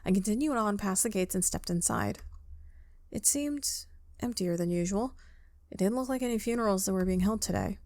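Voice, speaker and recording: A woman's voice: medium pitch, normal speed, darkish tone, dry recording. A woman playing a story game, reading the narration aloud and dropping in casual asides of her own. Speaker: video game player reading aloud and commenting.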